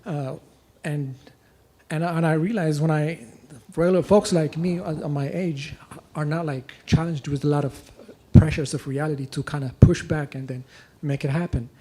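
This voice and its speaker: A young man from Ethiopia asking a long question at a lecture, halting and full of restarts. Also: fairly high pitch, steady tone, warm tone. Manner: halting, many fillers and restarts. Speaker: audience questioner. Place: lecture hall.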